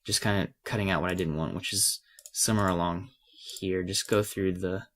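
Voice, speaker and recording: A man with a cold and a slightly raspy voice gives a casual, unscripted software tutorial, hesitating and thinking aloud as he works. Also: low-pitched, steady tone, moderate pace. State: has a cold. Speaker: tutorial presenter.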